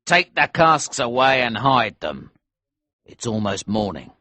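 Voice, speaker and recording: A man voicing a cold, vengeful smuggler leader in an audiobook, calm and menacing. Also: mid-range voice, unhurried, dry recording, exaggerated voice. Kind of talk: audiobook character voice.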